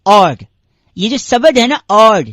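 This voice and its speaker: A man's voice: sits high, lively intonation, slow pace, a bit bright, no echo, studio quality, full character voice. A man voicing a pestering, long-winded radio prank caller who argues nonsense with mock earnestness in a comic phone call. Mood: mock-earnest pedantry.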